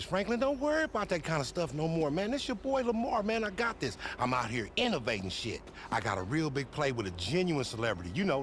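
A man's voice: medium pitch, slight background noise, natural voice. A man playing a boastful, foul-mouthed young hustler in a video game cutscene, with an American accent and slangy, cajoling banter.

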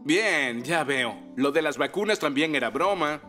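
A man voicing a playful, gently teasing father in an animated cartoon dub, with a mock-serious manner. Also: on the high side, a little slow, soft background music. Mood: dryly teasing.

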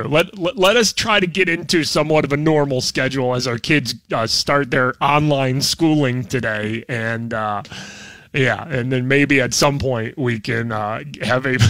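High, bright-voiced American man bantering. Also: dry recording, studio quality. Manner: animated teasing, breaking into laughter. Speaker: comedy show host. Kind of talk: casual comedic banter.

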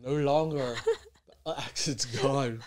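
A man's voice: mid-range voice, slow. A middle-aged man co-hosting a reaction show, chatting casually and joking as he thinks aloud, recorded on a desk podcast mic in a home studio. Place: home studio with colorful backdrop.

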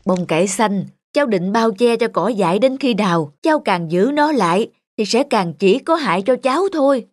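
A woman's voice: medium pitch, expressive, normal speed, few pauses, darkish tone, no room sound, clean studio sound, natural voice. A woman voicing an older garden plant, a stern elder who lectures and admonishes in a children's cartoon. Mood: admonishing. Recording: music behind the voice.

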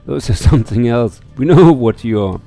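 A pushy dog-like exile from a fantasy game , read by a man, talks in a taunting way. The voice sits at a middling pitch and has a bright edge. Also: animated, normal speed, gravelly, soft background music. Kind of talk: reading visual novel dialogue aloud.

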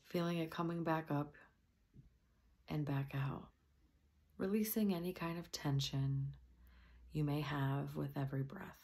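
A woman softly and gently leading a guided meditation, at times slipping into a breathy near-whisper. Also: sits low, a little slow, pauses often.

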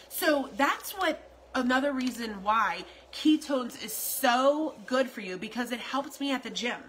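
Bright-voiced chatty woman selling on a phone live. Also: sits high, a little hiss or hum, low-quality recording. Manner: chatty, rambling, repetitive, salesy. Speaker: wellness product seller. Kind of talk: casual live video promo. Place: indoors at home, kitchen. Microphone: phone camera at arm's length.

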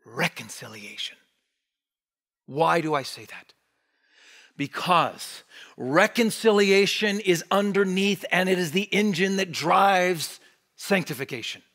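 A man in his forties preaching a sermon from a pulpit, animated and emphatic, dropping to near-whispers on key words. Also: high-pitched, takes it slowly. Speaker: pastor. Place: church stage behind a wooden pulpit.

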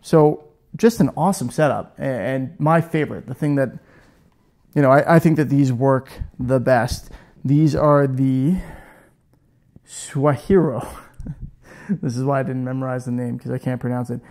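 A man's voice: mid-range voice, a little slow, long pauses, darkish tone. A man in his thirties hosting a casual woodworking demo in his garage workshop, chatty and self-joking, on a lapel mic. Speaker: woodworking channel host.

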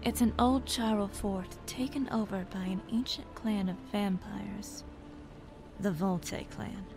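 A woman plays a cold, remorseless hunter of vampires in a fantasy game. The delivery is slow, brooding and deliberate at middle pitch, with music clearly behind it. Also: steady tone. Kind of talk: video game dub acting.

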